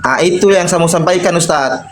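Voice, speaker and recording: A man's voice: high-pitched, fairly quick. A man with an Indonesian accent taking part in an informal religious debate as a caller, addressing the other speaker deferentially. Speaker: debate participant calling in.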